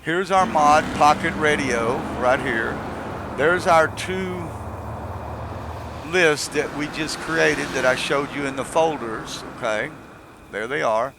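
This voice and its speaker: A man's voice: a little high, slow pace. Rambling man with noisy background. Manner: rambling, step-by-step explaining. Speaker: gamer giving a mod tutorial. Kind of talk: casual unscripted video game tutorial.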